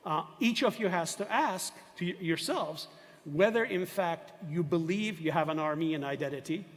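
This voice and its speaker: A man's voice: on the high side, normal speed. Older male professor lecturing in a hall. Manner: discursive, emphatic, rhetorical questions. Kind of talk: university lecture. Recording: long hall reverb.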